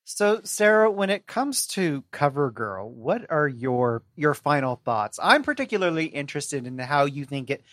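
A man's voice: fairly high pitch, big pitch swings, normal speed, few pauses, fairly bright tone, no echo. Articulate man in dry, casual discussion. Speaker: podcast guest.

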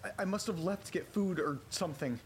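An actor playing a frightened, bewildered man in a horror audio drama, muttering haltingly to himself, repeating words and swearing. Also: natural voice. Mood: uneasy confusion. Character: frightened, bewildered man trapped near his haunted house. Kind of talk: first-person horror audio drama monologue. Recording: clear background noise, vehicle rumble.

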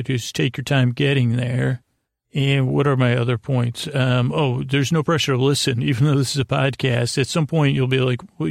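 An American man hosting a podcast, giving a soothing, meandering bedtime monologue in a halting way, often repeating himself and trailing off. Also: mid-range voice, gravelly, no echo, clean studio sound. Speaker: podcast host.

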